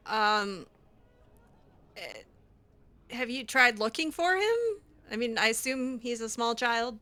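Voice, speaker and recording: A woman's voice: on the high side, slow pace, sharp tone, dry recording. A woman doing casual improvised roleplay while playing a video game, hesitant, awkward and drily reluctant. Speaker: video game player roleplaying her character.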